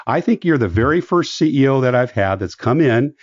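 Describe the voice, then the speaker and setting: A man's voice: mid-range voice, expressive, brisk, no echo. A man hosting a business podcast, reading guest introductions and asking questions with an enthusiastic, chatty manner. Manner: warm enthusiastic interviewer with fillers. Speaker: podcast host. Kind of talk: scripted podcast intro then interview. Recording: dull lo-fi podcast audio.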